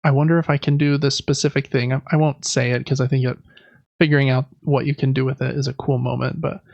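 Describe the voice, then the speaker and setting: A man's voice: mid-range voice, little pitch movement, quick pace, vocal fry, darkish tone, dry recording, dull audio. A man co-hosting a podcast, chatting casually about video games in a rambling, enthusiastic, informal way. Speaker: podcast co-host.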